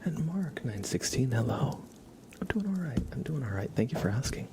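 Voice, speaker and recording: An ASMR livestream host who whispers and talks softly in a gentle, affectionate way, close to the microphone. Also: medium pitch, very animated, moderate pace, noisy background. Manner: gentle, affectionate cooing at a pet. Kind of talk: live ASMR, whispered and soft-spoken greetings and chat. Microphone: close sensitive microphone.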